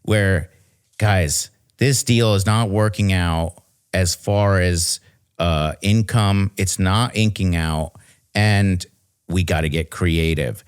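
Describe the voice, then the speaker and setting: A man's voice: low-pitched, slow pace, smooth. A man co-hosting a podcast in casual chat, rambling and restarting his sentences. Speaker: podcast co-host.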